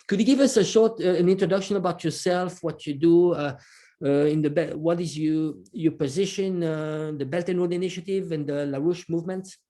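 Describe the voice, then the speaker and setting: A man's voice: fairly high pitch, fairly quick, mellow. A man hosting an interview, welcoming his guest in a friendly way and stumbling over repeated words. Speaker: host.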